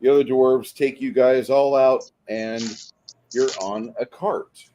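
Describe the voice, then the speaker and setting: Man, game master, mid-range voice, improvised tabletop narration, halting descriptive delivery. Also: mid-range voice, steady tone, dark tone, slight background noise, poor audio.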